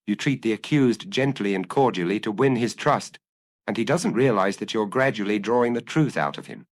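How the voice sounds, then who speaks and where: A man's voice: lowish voice, brisk, no room sound, studio quality, lightly acted. A man voicing an anguished young killer who earnestly confesses and analyzes his compulsion in a dramatic audiobook reading. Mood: uneasy.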